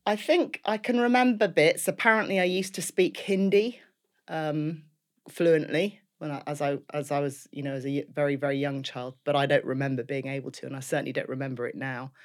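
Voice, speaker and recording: A woman in her fifties with a clear Liverpool accent, talking through her life story as an interview guest. She is chatty and laughs often, with plenty of ums and restarts as she goes. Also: deep voice, steady tone, a little fast. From Liverpool, England.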